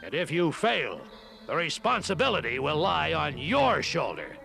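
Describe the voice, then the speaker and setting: An actor in an animated series playing a stubborn, haughty cavalry captain with a pompous, deliberate, emphatic manner. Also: male voice, fairly high pitch, gravelly, exaggerated voice. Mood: commanding. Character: stubborn, haughty male cavalry captain, strict about rules. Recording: music behind the voice.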